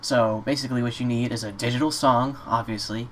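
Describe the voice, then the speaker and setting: A man giving a casual, off-the-cuff software tutorial in an informal, slightly hesitant way. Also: mid-range voice, fairly even intonation, fairly quick. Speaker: tutorial presenter. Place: indoors at a computer. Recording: clear background noise, keyboard clicks.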